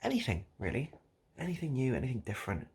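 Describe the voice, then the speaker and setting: A man improvising a comic monologue straight to the listener, halting and repeating himself in a mock-earnest, absurd way. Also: medium pitch, huge pitch swings, darkish tone, husky. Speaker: online video host.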